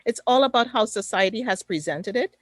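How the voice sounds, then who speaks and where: A woman's voice: fairly high pitch, lively intonation, fairly quick, no room sound. Passionate woman with a Trinidadian lilt. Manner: passionate, emphatic, preacher-like cadence. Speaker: panelist, mental fitness coach. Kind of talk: webinar panel discussion.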